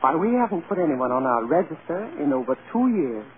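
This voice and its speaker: An actor playing a matter-of-fact, discouraging casting office official in a vintage radio drama. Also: male voice, on the high side, sing-song, fairly quick. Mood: businesslike, discouraging. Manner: businesslike, explanatory. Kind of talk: 1930s-40s American radio acting. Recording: tinny old radio, crowd chatter behind. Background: busy crowd noise.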